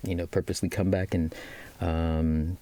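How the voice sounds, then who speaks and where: A man's voice: deep voice, little pitch movement, moderate pace, dark tone. A Malaysian man in his thirties, a business guest in an interview, speaking with a Malaysian accent and many fillers and restarts. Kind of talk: casual interview talk.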